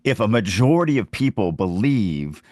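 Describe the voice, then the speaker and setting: A man's voice: on the low side, big pitch swings, normal speed, a little mellow, no room sound, studio quality. A man hosting a podcast and teaching about writing in casual, emphatic, insistent talk. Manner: emphatic, insistent, stresses key words.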